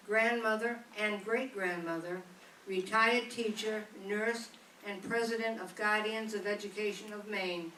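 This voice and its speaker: A woman's voice: medium pitch, a bit bright. Woman reading a formal letter in a hall. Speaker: speaker reading a letter aloud. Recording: echoey room from a distance with faint noise.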